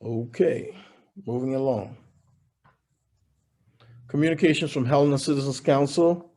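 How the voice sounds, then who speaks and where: Mayor guy running the meeting, short procedural lines, mellow tone. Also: medium pitch, a little slow. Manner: brief, procedural. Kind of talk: chairing a meeting.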